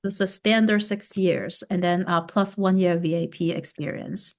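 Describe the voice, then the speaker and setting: A woman hosting an interview podcast, putting questions to her guest in deliberate, somewhat halting phrases. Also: fairly low pitch, fairly even intonation. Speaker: podcast host. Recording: muffled lo-fi podcast recording.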